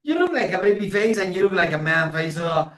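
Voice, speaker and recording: Echoey-sounding co-host: man around forty, high-pitched, loose joking chat. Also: big pitch swings, brisk. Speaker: interviewer, co-host. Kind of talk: casual joking chat, swearing. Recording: echoey room.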